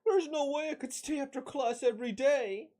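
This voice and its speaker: A woman voicing an excitable young boy student, devoted and easily panicked, in whiny exclamations. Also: sits high, normal speed, close to an everyday voice. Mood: indignant, adoring.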